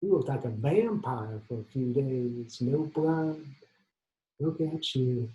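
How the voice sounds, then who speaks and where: Devoted male friend played by a male solo performer, stage drama. Gentle, caring, slightly anxious delivery, echoey room. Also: medium pitch, slow pace, lo-fi sound, close to an everyday voice. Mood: tender. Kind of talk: character in a stage monologue.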